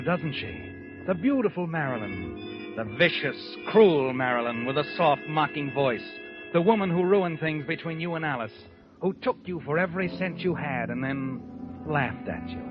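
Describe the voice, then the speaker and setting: Creepy insinuating old radio mystery narrator, sardonic, highish voice, music behind, muffled. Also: male voice. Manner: dramatic, insinuating. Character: sardonic, ominous mystery narrator taunting the guilty protagonist. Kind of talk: 1940s radio mystery narration, second person.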